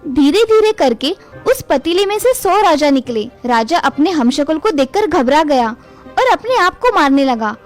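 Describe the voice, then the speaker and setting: A woman's voice: high-pitched, very animated, measured pace, soft background music. A woman reading a scripted moral tale for children as the narrator.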